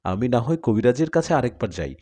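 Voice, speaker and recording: A man's voice: medium pitch, big pitch swings, a little fast, mellow, husky. A man voicing an anxious, caring parent in an audio horror story, worried and urgent.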